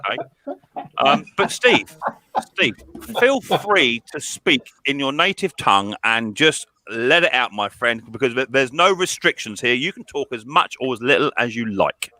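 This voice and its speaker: A man's voice: mid-range voice, very animated, moderate pace, pushed and bright. An English man hosting a casual live-stream video chat, joking and teasing his guests while tripping over his own restarts. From England.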